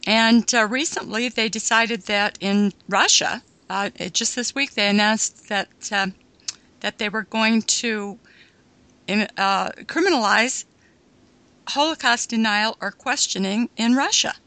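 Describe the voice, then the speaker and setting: Woman radio host, fairly high bright voice, dry close sound, measured with lots of uh and um. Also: no echo. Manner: measured, halting with many fillers. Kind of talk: unscripted radio interview.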